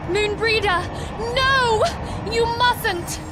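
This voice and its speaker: A woman's voice: very high voice, very slow, over loud music, full character voice. A woman playing an earnest young female mystic leader in a fantasy game, solemn and pleading. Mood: alarmed. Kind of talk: fantasy video game acting.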